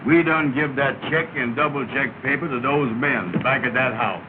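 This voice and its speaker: A glum, blustering working man , voiced by a male actor, with a slow, mumbling drawl and broken dialect grammar. He talks at a middling pitch. The sound is narrow and tinny, like an early film print with heavy hiss. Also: close to an everyday voice.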